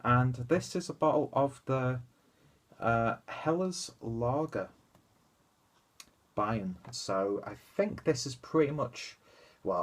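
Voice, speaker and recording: Young man, stuffy and nasal, low husky voice, rambling casual vlogger at his desk webcam. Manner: rambling, chatty, lots of fillers and asides. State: stuffy, congested nose. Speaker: beer reviewer. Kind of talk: casual beer review vlog. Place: small room at home, indoors.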